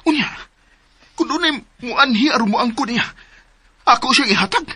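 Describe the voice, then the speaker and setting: A man with a Cebuano accent voicing an emphatic young man in a radio drama, lovesick and possessive, with a husky voice. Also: very high voice, lively intonation, normal speed, pushed and bright, heavily acted. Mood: intense. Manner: emphatic, swings between pleading and bluster.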